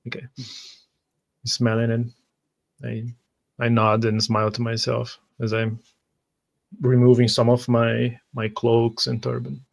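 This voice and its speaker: A man playing a tabletop roleplay game, narrating his character's actions in the first person in a halting way. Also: medium pitch, monotone, gravelly. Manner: halting, with repeated words.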